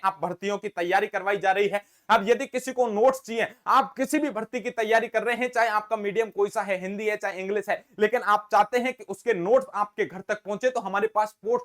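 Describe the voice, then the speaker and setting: A man's voice: high-pitched, a bit bright. A man who teaches exam-prep classes, talking directly to his viewers in a casual, earnest way and often calling them his dear friends. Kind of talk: casual direct-to-camera explainer.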